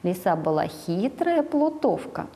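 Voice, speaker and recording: A woman in her forties, a speech therapist, telling a fairy tale to a small child slowly and prompting her to finish the words, recorded on a lapel mic in a bright studio. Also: medium pitch, big pitch swings, in a large hall.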